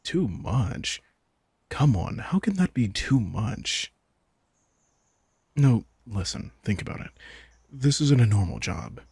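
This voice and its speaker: A man's voice: medium pitch, sing-song, takes it slowly, creaky, long pauses, a little mellow, slightly rough, dry recording, studio quality, exaggerated voice. A man playing a sardonic, laid-back vampire king in an intimate audio roleplay, teasing and manipulative as he speaks casually to the listener. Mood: amused, persuasive. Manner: laid-back, wry, teasing, informal swearing.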